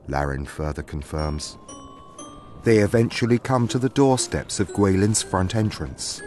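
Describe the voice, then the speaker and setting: A man's voice: low voice, lively intonation, unhurried, a little mellow, soft background music. A man narrating a scripted fantasy story as its storyteller.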